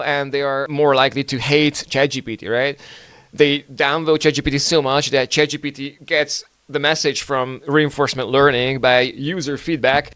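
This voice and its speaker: A man whose first language is Hungarian hosts a podcast, riffing on news in a loose, joking way full of swearing and fillers. His voice is smooth and clear at an average pitch. It sits close and dry, with no room sound. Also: a bit bright, no echo. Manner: rambling, profane, joking, many fillers. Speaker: podcast host. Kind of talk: casual news commentary.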